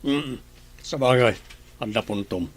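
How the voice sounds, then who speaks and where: A man's voice: mid-range voice, measured pace, lightly acted. A man acting as a scheming crime boss in a radio play, commanding and scornful. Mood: bossy.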